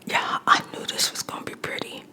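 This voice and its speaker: A woman's voice: brisk, sharp tone. A woman whispering throughout as she tells a personal story while doing her makeup. She repeats phrases for emphasis and talks straight to her viewers. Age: adult mother. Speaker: makeup vlogger.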